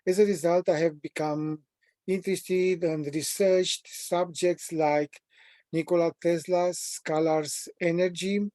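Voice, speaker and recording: A man in his sixties from Romania, with a Romanian accent, presenting a training webinar at a measured, deliberate pace with frequent pauses. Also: on the high side, steady tone, mellow, no room sound. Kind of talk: prepared self-introduction in a training webinar.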